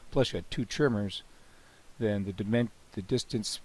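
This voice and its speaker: A man with a cold, hoarse and often clearing his throat, casually talking through a software demo with many "you know"s and restarts. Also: fairly low pitch, moderate pace. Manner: relaxed, rambling, frequent "you know" and restarts. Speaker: software developer demonstrating his plugin. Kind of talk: screen-recorded software walkthrough.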